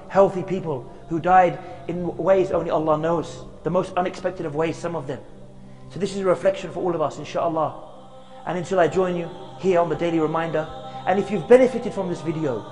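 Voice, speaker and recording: A man giving an earnest sermon outdoors in a graveyard, speaking slowly and chanting short recitations. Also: high voice, darkish tone, in a large hall. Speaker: preacher giving a short reminder.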